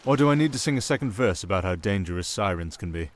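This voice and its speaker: A man with a British accent playing a sardonic, softly dangerous male siren in an ASMR roleplay, with dry, theatrical sarcasm. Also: deep voice, expressive, quick pace. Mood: softly menacing.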